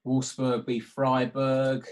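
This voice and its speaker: Male trading tutor, mid-pitched voice, hesitant and repetitive, talking through live markets on an online call. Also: level tone, slow. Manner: hesitant, repetitive, thinking aloud. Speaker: sports betting trading tutor. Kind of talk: live market walkthrough commentary.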